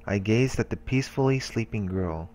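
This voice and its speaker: A man's voice: lowish voice, normal speed, darkish tone, slight background noise. A man hosting a let's play, reading game narration aloud with occasional casual asides.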